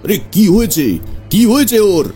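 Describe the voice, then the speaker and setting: Actor as an excitable, high-voiced flustered father. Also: unhurried, faint music behind. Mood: panicked. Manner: agitated, stammering, repeats himself. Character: anxious, flustered father, village gentleman. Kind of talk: horror audio drama.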